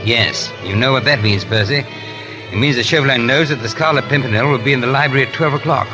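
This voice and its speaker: An actor in a 1930s radio drama playing an earnest, loyal English gentleman conspirator, urgent and deferential. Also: medium pitch, fast, close to an everyday voice. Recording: old 1930s radio recording with music.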